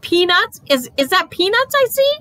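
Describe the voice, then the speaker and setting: A woman's voice: fairly high pitch, huge pitch swings, moderate pace, husky, pitched above the performer's own voice, heavily acted. A woman voicing a fussy, curious male stuffed toy elephant in a questioning, dramatic manner. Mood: delighted.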